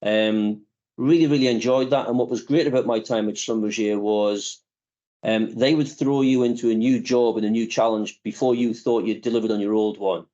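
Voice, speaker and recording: A man in his fifties, a company chief executive, answering interview questions in a measured, reflective way with frequent pauses. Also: fairly low pitch, steady tone, mellow, lo-fi sound.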